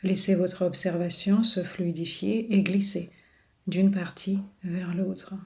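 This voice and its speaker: A woman leading a guided body scan meditation in a soothing, unhurried manner with long pauses. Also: fairly low pitch, flat delivery, vocal fry. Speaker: yoga and relaxation guide.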